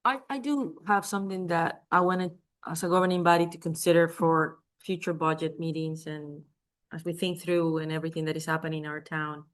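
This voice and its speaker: Very low-voiced woman, hesitant council request. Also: fairly even intonation, fairly quick. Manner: searching for words, hesitant. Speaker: council member. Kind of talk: meeting request.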